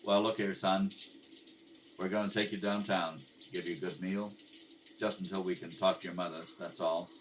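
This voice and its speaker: A man playing a calm, matter-of-fact police detective in a radio drama, deadpan and patient. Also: sits low, normal speed, natural voice. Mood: gently firm. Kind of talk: 1950s radio detective drama, clipped narration and dialogue. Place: kitchen at home. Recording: homemade, distant mic with background noise.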